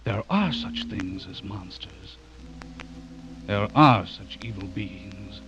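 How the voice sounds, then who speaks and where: An actor playing an intense horror writer with an insinuating manner, in an old-time radio drama style. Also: male voice, low-pitched, slow pace. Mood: sinister, taunting. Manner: insinuating, menacing when reciting. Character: intense male horror writer, sinister when reading aloud. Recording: muffled old radio recording, loud music behind.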